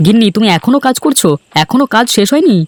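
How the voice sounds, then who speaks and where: A man's voice: very high voice, quick pace, no room sound. A man voicing a cheerful, sociable young village man in a cartoon story, eager and friendly. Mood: affectionate, reassuring.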